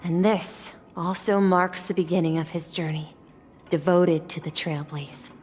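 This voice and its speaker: A woman playing a solemn, gentle reflection of a legendary space traveller in a video game, speaking in a measured, formal, reflective way. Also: on the low side, steady tone, slight background noise, close to an everyday voice. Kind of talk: scripted video game story monologue. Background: music.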